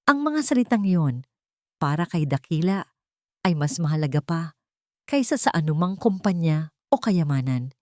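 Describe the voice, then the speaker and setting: A woman narrates a dramatic story. Her voice sits fairly low and swings widely in pitch. The recording is close and dry. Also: sing-song, moderate pace, pauses often, no room sound.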